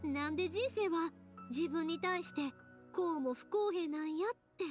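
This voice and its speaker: A woman's voice: very high-pitched, normal speed, faint music behind. An actress playing a kind-hearted young woman in an anime game, speaking earnestly and expressively with a Kansai accent. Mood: pleading, compassionate. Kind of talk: anime game dialogue acting.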